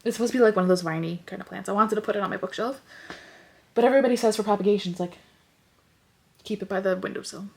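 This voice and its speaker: A woman's voice: mid-range voice, quick pace, vocal fry, pauses often. Chatty young woman, stuffy nose. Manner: rambling, lots of "like" fillers. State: congested, sniffly. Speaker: lifestyle vlogger. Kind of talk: casual vlog chat. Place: bedroom at home.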